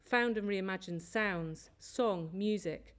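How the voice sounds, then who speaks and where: A woman presenting at an academic conference, reading from prepared notes in a measured way with small slips. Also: mid-range voice. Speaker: conference speaker, lecturer. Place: lecture hall.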